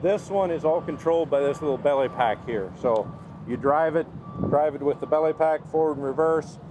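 A man's voice: on the high side, a little fast. Casual man explaining a product outdoors. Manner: casual, with filler ums and uhs. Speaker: exhibitor. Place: outdoor trade show lot. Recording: outdoor crowd noise.

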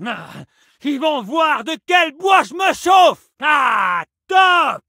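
A man's voice: very high-pitched, big pitch swings, very slow, pushed and bright, dry recording, raised voice, clean studio sound, heavily acted. A male actor playing a fierce French army soldier in a video game, barking curt, urgent, aggressive battle lines. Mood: fierce, rallying. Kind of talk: shouted video game combat barks.

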